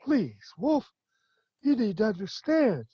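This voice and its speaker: A woman's voice: on the high side, big pitch swings, slow, husky, dry recording, lo-fi sound, heavily acted. A woman playing an earnest shoemaker hero who pleads with the villain in a community stage play. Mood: pleading.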